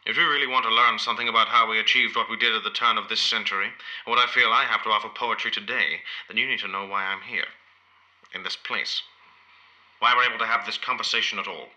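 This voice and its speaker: An old modernist poet held in confinement, for an audio play. He rambles with measured, wounded dignity and picks his words with care. The voice sits a little low and cuts through with a very sharp, forceful edge. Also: steady tone, rough recording. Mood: stern, proud. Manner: measured, rambling, literary, deliberate. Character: ageing imprisoned modernist poet, proud, reminiscing, wounded dignity.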